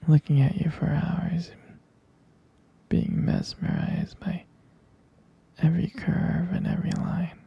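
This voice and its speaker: Very slow, breathy whispering ASMR lover. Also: mid-range voice, steady tone, dull audio. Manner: slow, intimate, hesitant pauses. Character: tender, adoring vampire lover. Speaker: ASMR performer playing a loving partner. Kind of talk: whispered romantic ASMR roleplay.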